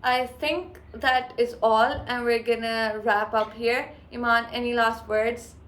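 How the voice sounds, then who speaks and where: Woman co-host, high voice, chatty, code-switches, roomy echo. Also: level tone, takes it slowly, a bit bright. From Pakistan. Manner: chatty, mixes English with Urdu phrases. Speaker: podcast co-host. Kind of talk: casual chat. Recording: echoey room.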